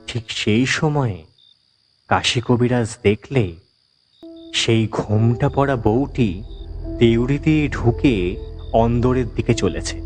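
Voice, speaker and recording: Slow, suspenseful male storyteller. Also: mid-range voice, darkish tone, soft background music. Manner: dramatic, measured, suspenseful. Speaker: narrator. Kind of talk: scripted horror story narration.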